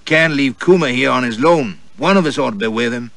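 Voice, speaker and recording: Audiobook role: male reader as a deep-voiced manservant, respectful, stubborn and wary. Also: fairly quick, vocal fry, fairly bright tone, dry recording. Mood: wary, insistent.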